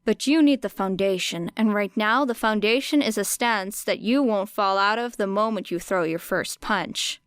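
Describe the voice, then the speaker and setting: A woman narrator plays a cocky young female street fighter in an audiobook. She talks bluntly and casually, like a teasing coach. The voice is clear and smooth, in a dry, close recording. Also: medium pitch, normal speed, hardly any pauses, dry recording, lightly acted. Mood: brisk, instructive. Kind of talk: audiobook character dialogue.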